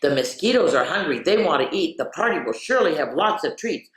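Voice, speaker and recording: Low-voiced woman storyteller, roomy echoey sound. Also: fairly quick. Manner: expressive, playful, drops into whispers for refrains. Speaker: children's storyteller and host. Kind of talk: animated read-aloud for children with chatty asides.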